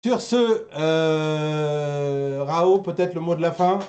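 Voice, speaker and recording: A man's voice: on the high side, takes it slowly, a little mellow, lo-fi sound. A man hosting an online talk show in casual, joking chat, recorded at home. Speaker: host of an online anime and manga talk show.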